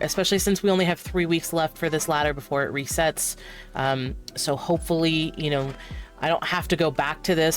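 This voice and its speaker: A stuffy, nasal voice with a cold, talking in a loose, rambling way full of fillers while narrating moves in a card game. Faint music plays underneath. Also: mid-range voice. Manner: rambling, many fillers, talks through moves. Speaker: streamer. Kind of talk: casual gameplay commentary.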